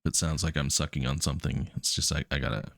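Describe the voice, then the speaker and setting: A man's voice: a bass voice, fast talker, vocal fry, no echo, clean studio sound. A man with a cold and a cough drop in his mouth, casually reading puzzle text and thinking aloud. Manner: casual, relaxed, many ums. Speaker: coding streamer.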